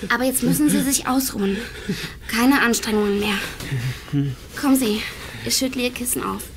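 An actress playing a composed young blind nurse with a soothing, deliberate way of talking and a hidden cold streak, in a German audio drama. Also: female voice, fairly high pitch, noisy background, natural voice. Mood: hushed, soothing. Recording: music behind the voice.

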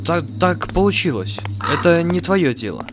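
A man voicing a bossy, curt scientist leading an expedition in an animated web series, insistent and businesslike. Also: fairly high pitch, moderate pace, slight background noise. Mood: irritated.

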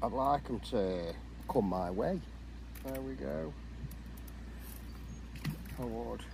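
A middle-aged English man speaks to a camera set close by on a lakeside bank, outdoors. His voice is gravelly and unhurried, and he exclaims and chuckles as he chats about the fishing. Clear outdoor noise runs under the recording. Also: mid-range voice. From England. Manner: chatty, excitable, exclaims and chuckles often. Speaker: angler vlogger. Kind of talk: casual fishing commentary to camera.

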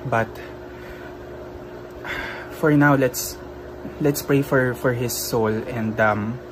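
Grieving young Filipino man, slow and halting. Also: medium pitch, steady tone. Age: young adult. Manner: halting, subdued, heavy pauses. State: grieving, emotional. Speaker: vlogger. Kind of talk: informal personal message to camera. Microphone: phone held close. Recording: clear noise like air conditioning or traffic.

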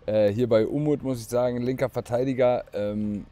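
A man's voice: mid-range voice, fairly even intonation, normal speed, warm tone. A man speaking as a panelist in an indoor football hall, hesitant with many fillers, on a lapel mic. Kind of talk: casual panel chat.

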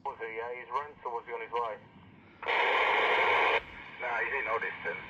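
A man's voice: medium pitch, moderate pace, muffled recording. A man with an English accent chatting casually over a crackly two-way radio. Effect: two-way radio speaker. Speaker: CB radio operator. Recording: crackly, very noisy CB radio reception.

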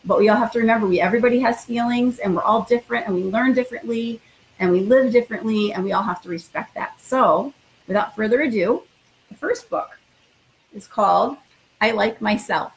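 A middle-aged woman with a somewhat high-pitched voice speaks as an elementary school principal. She addresses children directly and encouragingly, in the informal style of a picture book read-aloud. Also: a little fast, vocal fry, slight background noise, rough recording.

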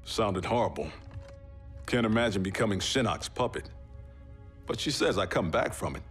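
Gruff young soldier w metal arms, lowish voice, music under it. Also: male voice, expressive, moderate pace, fairly bright tone. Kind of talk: video game cutscene acting.

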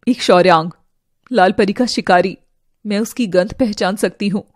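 A woman's voice: mid-range voice, normal speed, no room sound, studio quality, voice pushed down, natural voice. A woman voicing a vengeful, cunning young fairy in a cartoon, dramatic and intense. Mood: alarmed, urgent.

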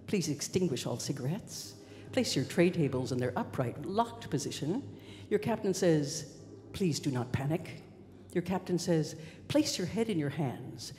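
A woman's voice: sits low, animated, drawn-out words, pauses often, mellow, a little hiss or hum, heavily acted. A woman voicing an airline intercom announcer who gives absurd crash-landing orders in a commanding, repetitive chant, as spoken-word performance. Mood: insistent, commanding. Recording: long reverberant hall.

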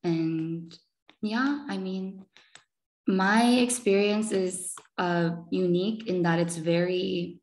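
A woman's voice: fairly low pitch, slow pace, a little mellow, poor audio. A young woman in her twenties, a medical student, talking through her experience over a video call in a chatty way with lots of fillers. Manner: chatty, many fillers like "you know" and "um". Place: small room indoors.

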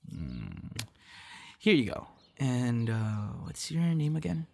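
A man playing a friendly, shy young budding voice actor chatting casually with a stranger in an ASMR roleplay, hesitant and softly teasing. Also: mid-range voice, huge pitch swings, slow, gravelly, heavily acted. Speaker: audio roleplay performer. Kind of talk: ASMR roleplay, casual one-sided conversation.

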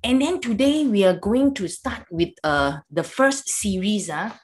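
An older woman with a Malaysian English accent, native in Cantonese, giving an online Buddhist talk over a video call from a home room, chatty and halting, tagging lines with "okay" and "lah". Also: mid-range voice, expressive. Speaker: Buddhist teacher. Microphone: video call webcam.

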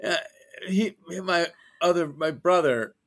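A man's voice: fairly high pitch, expressive, slow pace, fairly bright tone. A man, a guest author, chatting in an interview and telling wry, joking stories with many restarts. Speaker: guest, science fiction author. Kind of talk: casual interview chat.

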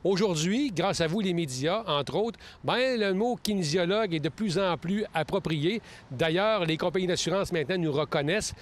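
Middle-aged Quebec man, kinesiologist guest, earnest and explanatory, slightly raised pitch, recorded outdoors on a handheld mic. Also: normal speed. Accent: Quebec French. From Quebec, Saguenay-Lac-Saint-Jean. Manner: earnest, explanatory, with frequent restarts. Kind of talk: casual interview answers. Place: outdoors in a leafy park. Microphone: handheld mic held close.

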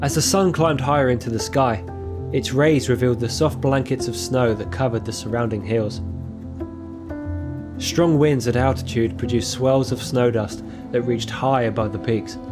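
A man gives a calm, reflective voice-over at a mid pitch, each sentence measured and unhurried. His voice sits over clear music playing beneath the narration. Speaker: filmmaker narrating his own trip. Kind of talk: scripted documentary voice-over narration. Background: music playing under the voice.

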